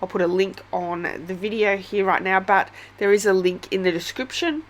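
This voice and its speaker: A woman's voice: medium pitch, normal speed. An Australian woman with a head cold, chatting through a casual step-by-step craft tutorial with many ums.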